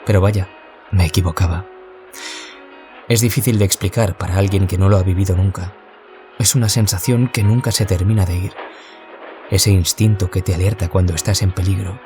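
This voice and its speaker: An actor playing a troubled young writer who survived bullying, confiding in a reflective audio drama monologue. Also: male voice, low-pitched, steady tone, moderate pace, pauses often, lightly acted. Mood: pained, reflective. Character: troubled young man, former bullying victim, wistful writer. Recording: loud music behind. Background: music.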